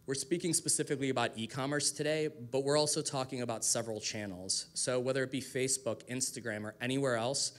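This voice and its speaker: Male guest speaker, medium pitch, casual explanatory delivery for a live informal presentation on a mic. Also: steady tone, fast talker, hardly any pauses. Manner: casual, explanatory, lightly profane. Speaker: guest speaker, digital marketing strategist. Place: live talk to a group in a room. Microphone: event microphone.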